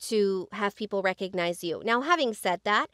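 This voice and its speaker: A woman's voice: mid-range voice, lively intonation, moderate pace, a bit bright, no echo, studio quality. An American woman co-hosting a podcast about voice acting, speaking earnestly and with emphasis. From United States. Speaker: podcast co-host, voice actor. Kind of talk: casual chat.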